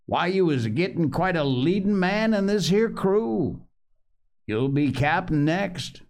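A man voicing a cunning, commanding older male pirate captain with rough sailor talk and scornful manner. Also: high voice, expressive, unhurried, darkish tone, voice pushed up. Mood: mocking. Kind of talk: audiobook character reading.

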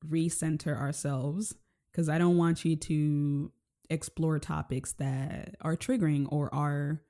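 Woman from kenya, podcast host, soft mellow voice, rambling gentle chat. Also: deep voice, steady tone, takes it slowly. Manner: gentle, rambling, frequent "like" and "you know". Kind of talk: casual interview.

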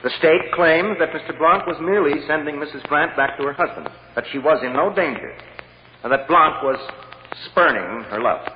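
An actor playing a shrewd, calm defense lawyer with a measured, persuasive delivery in 1940s radio drama style. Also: male voice, fairly high pitch, close to an everyday voice. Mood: pointed, assured. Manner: measured and deliberate, gently coaxing or drily firm. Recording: muffled, hissy old radio recording with hall echo.

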